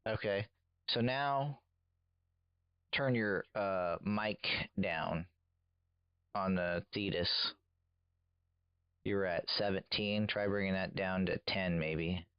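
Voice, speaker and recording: A man's voice: sits low, slow pace, pauses often, smooth, muffled recording. A man giving casual, patient, step-by-step technical coaching to another radio operator.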